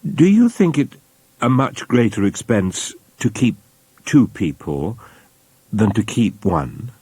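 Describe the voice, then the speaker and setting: A man voicing a kindly, innocent gentleman in an audiobook, speaking politely and with hesitant deliberation. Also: fairly low pitch, wildly swooping pitch, takes it slowly, husky, dry recording, voice pushed up, full character voice. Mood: earnest.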